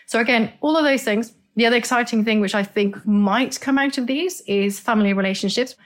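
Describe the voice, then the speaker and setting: A woman's voice: fairly high pitch, fairly quick. Enthusiastic woman from Norway explaining. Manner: enthusiastic, explanatory, repeats words. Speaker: expert guest. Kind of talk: conversational interview.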